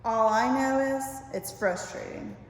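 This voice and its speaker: Older lady reading a funny essay, kinda high voice, super echoey room with long reverb. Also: measured pace, slight background noise. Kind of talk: reading a humorous essay aloud.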